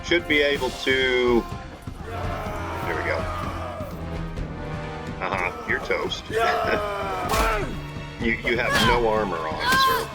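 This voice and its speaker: A man giving excited live commentary over his gameplay, talking to his viewers and shouting during fights. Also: medium pitch, drawn-out words, creaky, pauses often, a loud crowd. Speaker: gaming channel host. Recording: game music behind voice.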